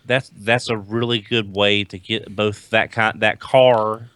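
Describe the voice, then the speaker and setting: A man in a casual panel chat, speaking in a halting way and repeating words for emphasis. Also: fairly low pitch, flat delivery, a bit bright, clear, resonant tone, no echo. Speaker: podcast panelist.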